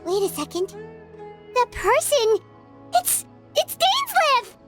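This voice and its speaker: A woman voicing a tiny, childlike, excitable fairy companion in a video game, with a squeaky, perky and expressive delivery. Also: slow pace, soft background music, exaggerated voice. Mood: thoughtful, startled. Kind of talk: scripted game dialogue.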